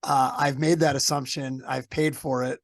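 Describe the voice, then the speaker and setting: A male podcast host, his voice scratchy and gravelly from a cold. He talks in a casual, upbeat way with plenty of fillers, at a middling pitch. The sound is dry and close, with no room around it. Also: level tone, normal speed, no echo. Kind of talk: conversational podcast interview.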